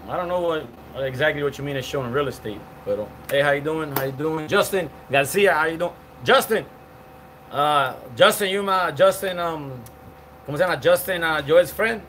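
A man in his forties talks loosely into a phone camera on an outdoor patio, reading out viewer comments. He rambles with fillers and restarts, and his voice sits a bit high. A faint hiss runs under it. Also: moderate pace, a bit bright. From Cuban. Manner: chatty, rambling, many fillers and restarts, greets viewers by name. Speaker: live stream host. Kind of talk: casual live-stream chat reading comments. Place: outdoor patio with palm trees.

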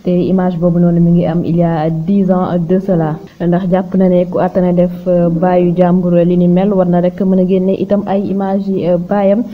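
A woman with a Senegalese accent presenting news narration, with a halting delivery and frequent hesitant pauses. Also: on the low side, little pitch movement. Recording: dull lo-fi sound with clear noise.

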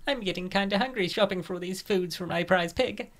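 Scheming comic gym woman, acted by a woman: lowish, smug, coaxing, some fry. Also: a little fast, natural voice. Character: scheming, gluttonous young gym woman, falsely sweet. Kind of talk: comic dub character voice.

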